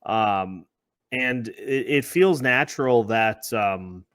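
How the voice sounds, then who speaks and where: A man's voice: lowish voice, slow, fairly bright tone, no echo. Male sports podcast host, dry close sound. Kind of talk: conversational sports discussion with scripted-sounding intro.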